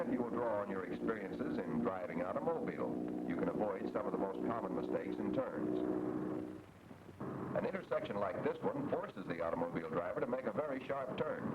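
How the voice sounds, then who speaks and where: Low-voiced American man narrating, noisy old film. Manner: measured, didactic. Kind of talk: 1940s instructional film narration, scripted. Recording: muffled old film soundtrack, loud noise.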